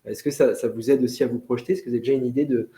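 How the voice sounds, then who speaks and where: Mellow-voiced male interview host. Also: mid-range voice, steady tone, very fast. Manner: many fillers, rephrases questions. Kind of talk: live interview hosting. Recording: echoey room.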